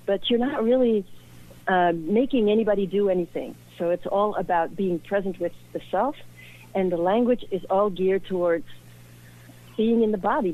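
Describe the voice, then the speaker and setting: A woman from Belgium speaks as an interview guest in an earnest, reflective way. Her voice sits at a middling pitch. It comes through a thin, tinny, phone-like line. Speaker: guest, author. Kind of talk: personal interview.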